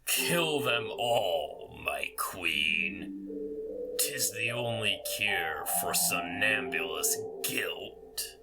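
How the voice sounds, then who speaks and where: A man's voice: lowish voice, lively intonation. A man playing the ghost of a dead king who coldly urges his queen to murder, in an audio drama. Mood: menacing. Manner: slow, menacing urging. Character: ghost of a dead Scottish king, vengeful and commanding. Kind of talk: amateur audio drama acting. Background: clear music.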